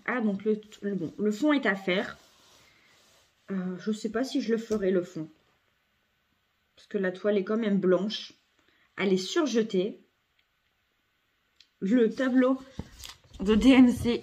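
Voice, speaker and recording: A woman with a cold chatting casually through a craft unboxing at home, hesitant, with many fillers and self-corrections. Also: medium pitch. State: has a cold. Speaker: craft vlogger.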